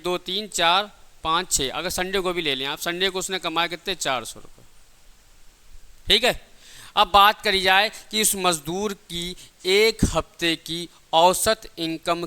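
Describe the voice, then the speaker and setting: A man's voice: high voice, pushed and bright, clear, resonant tone. A man teaching an exam class step by step, repeating phrases and answering his own questions. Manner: didactic, repeats phrases and asks rhetorical questions he answers himself. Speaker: physics teacher for exam preparation.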